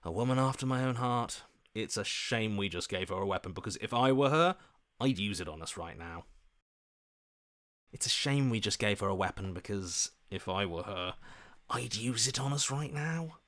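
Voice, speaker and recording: A man's voice: on the low side, animated, moderate pace, a bit bright. A man voicing a scheming, self-serving opportunist in a video game, with a sly, ingratiating, theatrical manner. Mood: slyly calculating. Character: scheming, self-serving, weaselly opportunist voice inside the hero's head.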